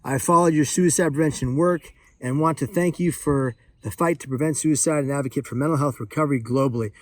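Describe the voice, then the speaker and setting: A man with a fairly high-set voice talks in a casual vlog style. His delivery is exuberant and playful, with emphatic phrasing throughout. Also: brisk, dry recording. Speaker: vlogger. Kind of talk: excited casual vlog chat while opening a parcel.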